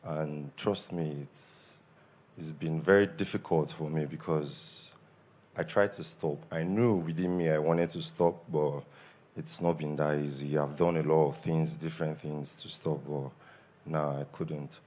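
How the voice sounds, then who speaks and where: A young Nigerian man with a Nigerian accent gives an earnest testimony at a church service, his voice a little worn from shouting. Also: a bass voice, steady tone, unhurried. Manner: earnest, grows more emphatic. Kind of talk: spoken testimony.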